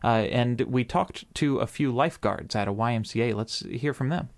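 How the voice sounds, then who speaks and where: A man's voice: mid-range voice, moderate pace, gravelly, no room sound. A man hosting a live radio news special with listener calls. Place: radio studio.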